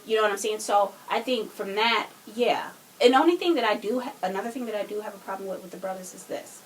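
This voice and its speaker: A woman's voice: mid-range voice, fast, poor audio. Woman on a podcast giving her take, casual chat, bit of room noise in the background. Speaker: podcast co-host. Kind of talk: casual opinionated discussion.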